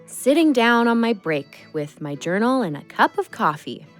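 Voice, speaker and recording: Give this young barmaid in an audio play an animated, swooping voice. She talks in a colloquial, sassy, expressive way, like someone who answers back. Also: on the high side, moderate pace, soft background music. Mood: confiding, troubled. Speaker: narrator and lead character. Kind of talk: audio drama acting.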